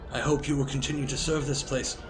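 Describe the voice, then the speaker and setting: An actor playing an ancient, grave wizard leader in an audio drama, weary and proud, speaking in formal, ceremonious phrases. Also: male voice, medium pitch, level tone, fast, noisy background, natural voice. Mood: solemn pride. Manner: formal, ceremonious, stately speech. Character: ancient Merlin, grave male founder and commander, weary, proud.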